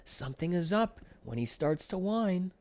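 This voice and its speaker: A man's voice: high-pitched, big pitch swings, moderate pace, darkish tone, voice pushed up. A man voicing a worried, earnest little flea brother from a children's storybook, anxious and pleading.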